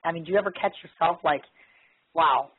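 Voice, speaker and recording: A woman hosting a casual, chatty internet radio interview, her voice hoarse and husky from laryngitis. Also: sits low, a little fast, no room sound. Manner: chatty, informal. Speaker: internet radio show host. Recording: muffled, lo-fi radio connection.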